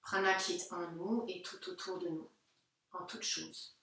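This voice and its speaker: A woman leads a guided yoga relaxation in a slightly low voice. She speaks gently and without hurry, leaving pauses between short instructions. The sound is strongly reverberant, with the microphone some distance away. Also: steady tone. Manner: gentle, unhurried, pausing between short phrases. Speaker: yoga teacher. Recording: very echoey room, distant microphone.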